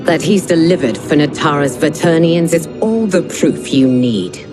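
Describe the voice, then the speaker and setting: A firm villainess from a video game. Her voice is deep for a woman, set over clear music. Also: expressive, moderate pace. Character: firm female villain ally of a sorcerer. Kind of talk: video game cutscene acting. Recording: music behind.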